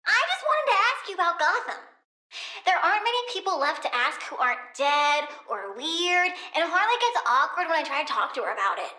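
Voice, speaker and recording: Video game villainess, young plant-human girl: American, very high, bright voice, curious, flippant, petulant edge. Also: a little fast, reverberant, full character voice. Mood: curious, chirpy. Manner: girlish, expressive, blunt, flippant. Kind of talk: animated video game voice acting.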